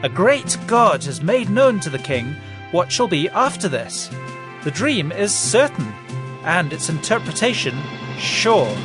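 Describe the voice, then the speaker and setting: An actor playing a young male prophet who solemnly interprets a king's dream in a measured, declamatory way, in a scripture audio drama. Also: high-pitched, very animated. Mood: solemn, earnest. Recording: music under the voice.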